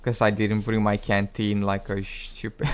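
A man's voice: fairly low pitch, monotone, normal speed, clean tone. A man with a tired, cracking voice giving casual, chatty commentary over his survival gameplay, joking at his own mistakes and talking to viewers. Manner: chatty, self-deprecating, talks to viewers. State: voice cracking, going hoarse. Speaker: gaming channel host.

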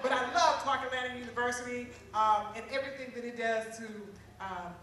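Woman introducing the next guest, bright, quick, laughing, far off in an echoey hall. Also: on the high side, a little hiss or hum. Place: event hall, on stage. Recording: echoey hall, recorded from a distance.

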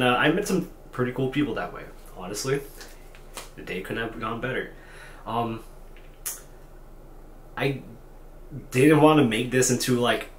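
A man's voice: medium pitch, a bit bright, slight background noise. Tired young man rambling in a hotel room. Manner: rambling and self-deprecating, many fillers and restarts. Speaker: vlogger. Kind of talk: unscripted talking-head vlog. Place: small hotel room at night. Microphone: camera at desk distance. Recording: echoey room with faint ambience.

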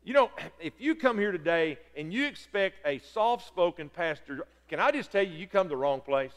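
A middle-aged man, preaching loudly and excitedly from a church pulpit, often stumbling over his words. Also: high voice, moderate pace. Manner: loud, excitable preaching with repeated stammers. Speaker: pastor. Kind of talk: sermon. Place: large church sanctuary. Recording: long reverb of a church hall.

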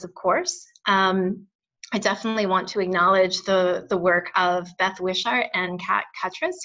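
A woman's voice: mid-range voice, bright, forceful tone. An American woman presenting a webinar over a video call, friendly and earnest with many "um" fillers. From United States. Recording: dull lo-fi web call audio.

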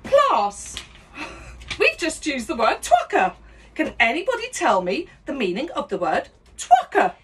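Animated woman playing a cheeky teacher. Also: high-pitched, measured pace, a little hiss or hum. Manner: mock-schoolteacher tone, singing and laughing. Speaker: comedy host. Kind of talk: rude comic song and story parody. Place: small decorated room indoors.

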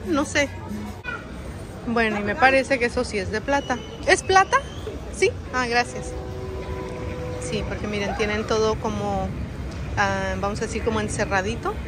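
Chatty woman touring a busy market. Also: on the high side. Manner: chatty, addresses viewers as friends. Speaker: vlogger. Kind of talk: casual walking tour commentary. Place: large outdoor swap meet. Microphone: phone camera held while walking. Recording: outdoor market with crowd and music. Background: crowd chatter and music at the market.

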